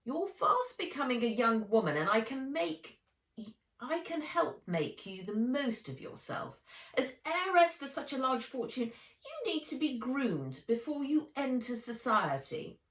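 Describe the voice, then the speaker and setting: A woman's voice: high-pitched, big pitch swings, a little slow, fairly bright tone, pitched above the performer's own voice, exaggerated voice. A woman voicing a bossy, snooty middle-aged aunt with a cold, condescending, insistent manner in a storybook reading. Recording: roomy echo, distant microphone.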